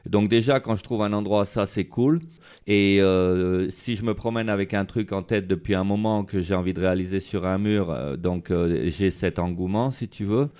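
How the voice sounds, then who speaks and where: A man's voice: low-pitched, moderate pace, a little mellow, clean tone. A man being interviewed as a street artist, talking casually and rambling with many fillers. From France.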